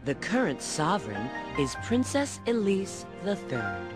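Sly, sultry, low-voiced bat spy. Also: female voice, huge pitch swings, a little slow. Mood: breezy. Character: sly, flirtatious female bat spy, treasure hunter. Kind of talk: video game cutscene acting. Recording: music under the voice.

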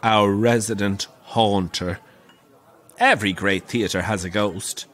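A camp middle aged irish panto dame telling spooky theatre stories, dry and theatrical, audio drama. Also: male voice, fairly low pitch, fairly even intonation, slow pace, fairly bright tone. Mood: teasing, theatrical. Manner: theatrical, wry, relishing the tale.

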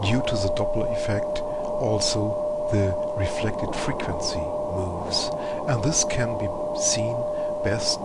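Low, slow German-accented man explaining. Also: a bit bright, slight background noise. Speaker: amateur radio presenter. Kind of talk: explanatory tutorial narration.

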